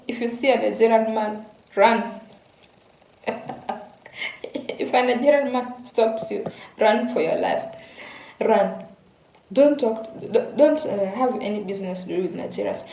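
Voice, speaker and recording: A young woman from Kenya tells a story in a chatty, casual way, often repeating herself and turning to her viewers. Her voice sits at a middle pitch. The room around her is echoey, with a faint hum of background noise. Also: dark tone. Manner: chatty, repeats words, addresses viewers directly. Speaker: vlogger.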